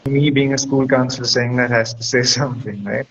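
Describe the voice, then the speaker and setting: A man's voice: mid-range voice, steady tone, a little fast, fairly bright tone. A man speaks as a guest counselor, giving reflective, conversational advice and often checking in with the listener. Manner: reflective, explanatory, checks with "right?". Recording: dull lo-fi audio with clear background noise.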